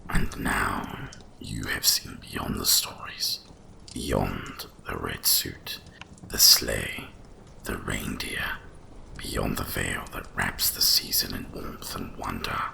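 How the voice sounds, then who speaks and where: Old wise dragon for asmr, super gravelly growly whisper, really slow and solemn. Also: male voice, pushed and bright, exaggerated voice, rough recording. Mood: grand, revealing. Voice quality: deep gravelly breathy whisper. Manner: slow, solemn, deliberate delivery. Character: ancient wise dragon, guardian of winter and Christmas. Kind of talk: ASMR roleplay storytelling.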